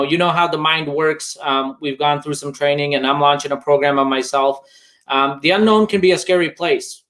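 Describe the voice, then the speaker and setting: Man, slightly high voice, from Bosnia, interview host, conversational, reflective. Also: fairly bright tone. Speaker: podcast host interviewing a guest.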